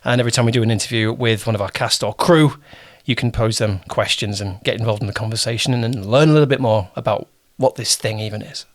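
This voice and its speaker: A man's voice: lowish voice, fairly even intonation, fast talker, few pauses. A man hosting a casual interview as a producer, talking informally and often rephrasing himself as he goes.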